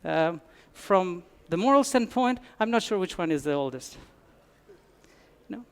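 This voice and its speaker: A man's voice: high voice, animated, measured pace, clean tone. A man from Albania, giving a conference talk with dry humor and frequent restarts. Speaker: conference speaker. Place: conference hall.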